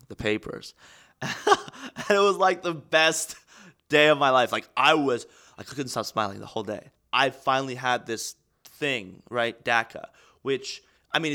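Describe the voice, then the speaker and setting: A man in his twenties, born in Estonia, speaks in a documentary interview. His voice is bright and forceful. He tells his story candidly, dropping low in reflective moments and surging with energy when fired up. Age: 20s. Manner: candid, earnest storytelling, swings between quiet and fired up. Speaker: aspiring pro wrestler.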